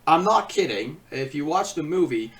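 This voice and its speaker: A man hosting a comedic video review, switching between theatrical announcing and halting casual asides. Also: fairly high pitch, lively intonation, fairly bright tone, distant mic. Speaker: online film reviewer and host.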